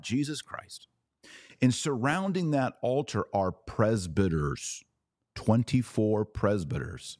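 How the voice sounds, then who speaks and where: A man's voice: on the low side, darkish tone, no echo, clean studio sound. A man hosting a podcast, lecturing with emphasis and repeating his points for stress. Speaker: podcast host. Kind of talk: podcast commentary on church liturgy.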